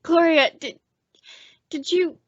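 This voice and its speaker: A woman's voice: very high-pitched, steady tone, slow pace, no room sound, dull audio. A woman playing an eager, lovestruck young husband in an old-time radio drama. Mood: anxious.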